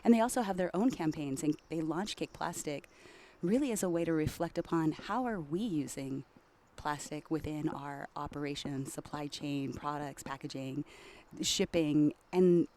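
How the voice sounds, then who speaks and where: A woman's voice: sits low, moderate pace, warm tone. A woman from Hawaii, a campaign ambassador, giving fluent and upbeat interview answers at a trade show.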